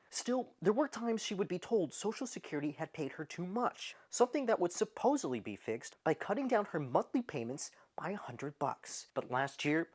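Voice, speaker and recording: A woman reporter reading a scripted news voice-over in a measured, emphatic way. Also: on the low side, a little mellow, breathy edge.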